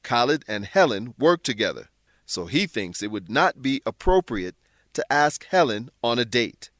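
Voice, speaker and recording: A man presents a scripted English lesson in a didactic manner. His mid-pitched voice moves up and down a lot as he stresses key words, and the sound is dry and close. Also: sing-song, normal speed, a bit bright, no room sound. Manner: didactic, clearly explaining with emphasized key words. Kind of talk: scripted instructional narration.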